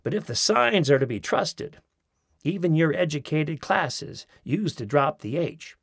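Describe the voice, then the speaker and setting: Guy reading a funny essay, raspy voice, bouncy pitch, does little bits. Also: expressive, a little slow, dry recording. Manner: mimics accents in quoted phrases, dry wit. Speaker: audiobook narrator. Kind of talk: expressive reading of a humorous essay. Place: studio. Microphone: close studio mic.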